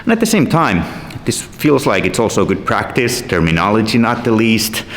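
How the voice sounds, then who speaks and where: A man with a Finnish accent, a company founder talking earnestly to camera in his vlog and thinking aloud with pauses. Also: lowish voice, sing-song, fairly bright tone. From Finland. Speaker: agency founder and vlogger. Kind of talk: solo vlog talk to camera.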